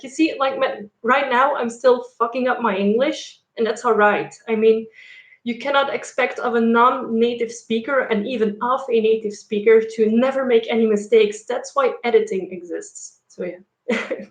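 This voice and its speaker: Chatty young woman, echoey room. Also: on the high side. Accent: Dutch. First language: Dutch and French. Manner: chatty, frequent fillers and laughs. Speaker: YouTuber. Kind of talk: casual live stream chat.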